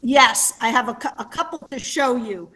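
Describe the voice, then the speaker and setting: A woman's voice: fairly high pitch, normal speed, bright, forceful tone. A woman hosting a webinar in a conversational presenting style. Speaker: webinar host.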